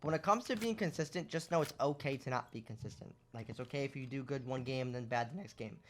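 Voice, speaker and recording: A young man with a voice going hoarse, casually explaining shooter game tactics over gameplay and often checking in with "right?". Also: mid-range voice, quick pace, a bit bright. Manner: explanatory, rhetorical questions, lots of "right?". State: losing his voice. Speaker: gaming content creator. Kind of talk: casual gameplay tips commentary.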